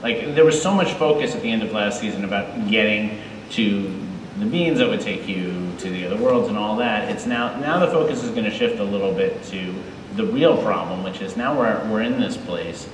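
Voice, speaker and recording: Lowish-voiced man echoing in a hall. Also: expressive, moderate pace. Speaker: TV show creator, panel guest. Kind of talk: live panel interview, explanatory. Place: large indoor convention hall stage. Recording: echoey hall, distant, crowd noise.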